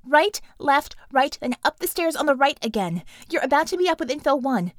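Woman acting a high-pitched, urgent young squad guide. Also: very animated, brisk, no echo. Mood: urgent, terse. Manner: clipped, commanding. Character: urgent bossy young woman guiding a squad over comm.

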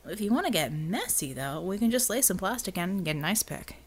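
Woman acting a gruff, blunt American tough guy. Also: mid-range voice, expressive, fairly quick. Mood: coolly menacing. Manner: colloquial, blunt, coolly menacing when persuading. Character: gruff, drawling American man, tough principled vigilante.

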